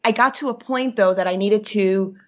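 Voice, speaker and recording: A woman in her thirties from New York whose first language is Yiddish speaks reflectively, often pausing to search for the right word. Her voice sits at a middle pitch. The recording sounds muffled and lo-fi. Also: fairly bright tone. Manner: reflective, searching for words, many fillers. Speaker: guest. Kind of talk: personal interview. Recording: dull lo-fi recording.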